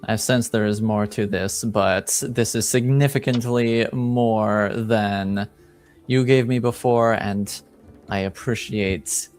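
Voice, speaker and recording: A man playing a reserved, dignified noble in a tabletop fantasy game, speaking formally and deliberately in short, probing phrases. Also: lowish voice, fairly even intonation, darkish tone, faint music behind. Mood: cool, gracious. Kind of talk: improvised in-character tabletop roleplay.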